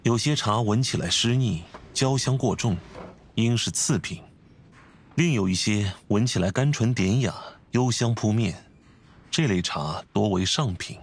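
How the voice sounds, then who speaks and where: A man voicing a refined, composed, scholarly gentleman in a video game, speaking formally and courteously with measured phrasing. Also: on the low side. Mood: instructive. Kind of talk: video game character acting.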